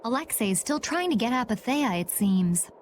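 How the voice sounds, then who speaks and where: A woman's voice: on the high side, lively intonation, normal speed, a little hiss or hum, natural voice. A woman voicing a matter-of-fact young companion. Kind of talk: video game voice acting.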